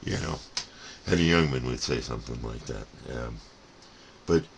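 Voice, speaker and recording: Older man, extremely low voice, folksy rambling hobbyist monologue, digressive, noticeable background noise. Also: normal speed, gravelly. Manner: folksy, digressive, repeats words for emphasis. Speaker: CB radio hobbyist giving advice.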